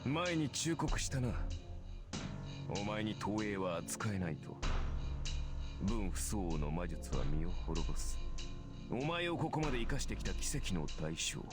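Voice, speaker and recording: Low-voiced cynical swordsman knight, loud music. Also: very animated. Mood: sneering. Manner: mocking, measured. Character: cynical, cold swordsman knight in red, sneering. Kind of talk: Japanese visual novel voice acting. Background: loud game music.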